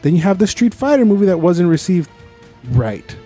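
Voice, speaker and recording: A man's voice: high-pitched, sing-song, normal speed, mellow, faint music behind. A man co-hosting a podcast, giving an informal, opinionated movie review in casual conversation and swearing freely.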